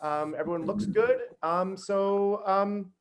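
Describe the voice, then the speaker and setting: A man gives a casual host's welcome. His voice sits a bit high, and he speaks haltingly, with frequent ums. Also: fairly even intonation, slight background noise. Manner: halting, hesitant, with many ums. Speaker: host introducing a community reading.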